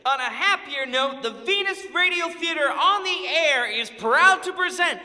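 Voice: high voice, animated, unhurried, heavy background noise. An actor playing an emphatic, apologetic theater announcer in a comic audio show. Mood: apologetic, proclaiming. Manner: emphatic, proclaiming. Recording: echoey hall.

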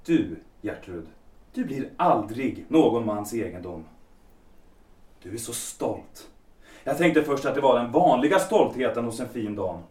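A man's voice: mid-range voice, animated, darkish tone, distant mic, natural voice. A man playing a bitter rejected lover. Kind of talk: audio drama acting. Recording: echoey room, voice off-mic.

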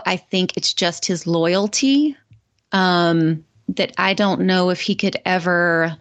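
Low-voiced woman, agreeable co-host. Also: no room sound. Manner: agreeable, lots of short affirmations. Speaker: podcast co-host. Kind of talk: casual podcast chat.

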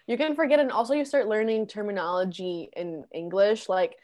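A woman raised in Orlando, Spanish her first language, chatting quickly and brightly as a podcast guest. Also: mid-range voice, fairly even intonation. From Orlando, Florida. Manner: fast-talking, bubbly. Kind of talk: casual chat.

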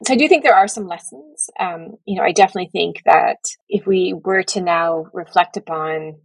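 A woman speaking as an academic guest in an interview, giving considered answers in careful, measured phrasing. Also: fairly low pitch, gravelly, slightly rough, no room sound, rough recording. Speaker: public health professor, interview guest.

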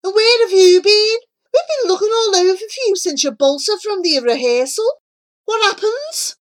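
A woman voicing an excitable, puzzled child-sized broccoli character who exclaims and questions in a children's story. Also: very high-pitched, moderate pace, voice pushed up. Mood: indignant, puzzled.

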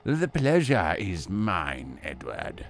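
A man's voice: deep voice, huge pitch swings, slight background noise, exaggerated voice. An actor playing a smug, pompous male official giving a radio interview in an audio drama. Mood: pompous, evasive. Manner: pompous, drawn-out.

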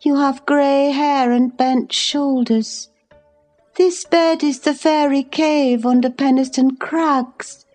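A fragile, feverish young woman, as a woman reader puts her on, pitched high and talking slowly in a mellow, soft tone. She sounds delirious and pleading, with faint music underneath. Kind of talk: audiobook character reading.

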